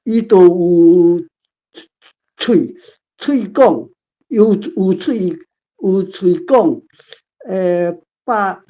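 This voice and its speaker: Female amateur reader, Taiwanese accent, hesitant and word-by-word; very slow, muffled lo-fi call sound. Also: lowish voice, warm tone. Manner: hesitant, sounding out words piece by piece. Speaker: participant reading a script. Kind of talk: halting read-aloud. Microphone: online call. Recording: dull lo-fi online call audio.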